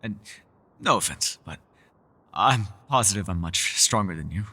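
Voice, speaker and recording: A man playing a mysterious, powerful and secretive elf in a fantasy ASMR roleplay, speaking in measured, formal, old-fashioned phrases, by turns teasing and world-weary. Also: on the low side, animated, bright, forceful tone. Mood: confident, teasing.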